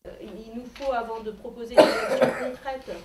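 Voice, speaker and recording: A woman's voice: on the high side, fairly even intonation, moderate pace, a loud crowd. A woman speaking as a local official in an unscripted way, searching for words and restarting her phrases. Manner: hesitant, searching for words, repeating phrase starts. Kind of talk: meeting talk and interview, unscripted.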